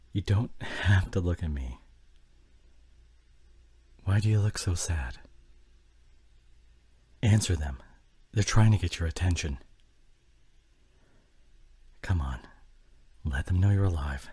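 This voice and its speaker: A man playing an injured, fading husband and father in an emotional ASMR audio drama, tender and intimate, with a breathy voice that often drops toward a whisper. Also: low voice, darkish tone, full character voice. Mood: gentle, dazed. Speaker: audio roleplay voice actor.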